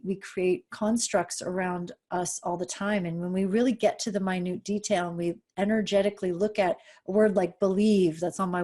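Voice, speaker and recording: A woman's voice: medium pitch, darkish tone. A woman on a panel, musing aloud in loose, trailing phrases. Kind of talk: casual group discussion.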